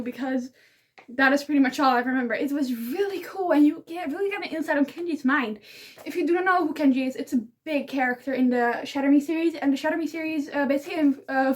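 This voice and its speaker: A young woman whose first language is Dutch, with a notably high voice. She talks casually and enthusiastically, rambling and giggling as she recommends books in a relaxed vlog. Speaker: book vlogger. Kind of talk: casual book review vlog.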